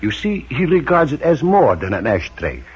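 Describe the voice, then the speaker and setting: A courtly, insistent male German imperial envoy , acted out by a man in an old radio dramatization. The voice is very rough and husky with lively swoops, persuasive and formal, on a dull 1940s recording. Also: medium pitch, normal speed. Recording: muffled vintage 1940s radio broadcast.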